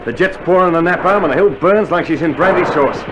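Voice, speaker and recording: A man's voice: fairly high pitch, fairly quick, fairly bright tone, faint music behind, lightly acted. A man acting a seasoned, watchful Australian soldier in an old radio war drama, talking in matey slang. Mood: grim, matey. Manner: slangy, matey commentary on the battle. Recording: noisy old vinyl recording, battle sounds behind.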